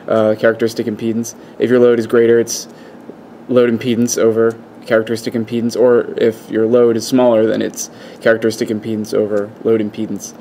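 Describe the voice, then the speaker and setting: A man with a fairly low voice and very little pitch movement walks through a technical demonstration. He speaks informally and methodically, feeling his way through unscripted explanations. It is picked up by a camera microphone at an indoor workbench. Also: dark tone, slight background noise. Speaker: presenter of an electronics demonstration. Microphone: camera microphone, behind the camera.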